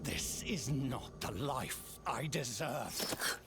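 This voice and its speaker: Defiant, bitter male sorcerer for a game cutscene; extremely slow, theatrical, over loud score. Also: medium pitch, lively intonation, over loud music. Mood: scornful, defiant. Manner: theatrical, contemptuous. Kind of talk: dramatic video game cutscene acting.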